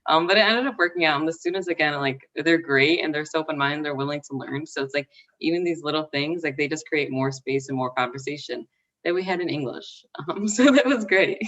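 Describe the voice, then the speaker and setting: Woman, low voice, chatty panelist, laughs a lot, far from mic, muffled sound. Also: lively intonation, a little fast, a bit bright. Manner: chatty, laughs often, many "like" fillers. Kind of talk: casual panel chat.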